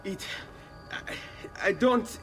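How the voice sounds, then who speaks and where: A man's voice: extremely slow, a bit bright, faint music behind. An actor playing an earnest, hot-headed young Italian nobleman in a dramatic video game. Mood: anxious. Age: young adult.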